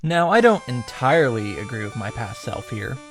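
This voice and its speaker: A man's voice: mid-range voice, very animated, normal speed, fairly bright tone. A man hosting a visual novel reaction summary, narrating casually and comedically with sarcastic, sweary commentary. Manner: sarcastic, profane. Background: music.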